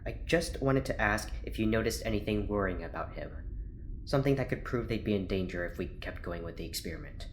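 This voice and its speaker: An earnest male doctor in an audio drama, polite and easily flustered. The voice sits very low but moves about expressively, with faint noise behind it. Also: lively intonation, brisk. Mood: flustered, worried. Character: awkward, earnest, apologetic male doctor, rule-bound.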